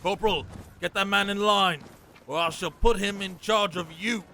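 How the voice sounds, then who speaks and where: A man's voice: sits high, steady tone, moderate pace, sharp tone, a little hiss or hum. A man playing a dutiful, dryly frank sergeant in a fantasy audio drama, with formal military address. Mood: barking orders.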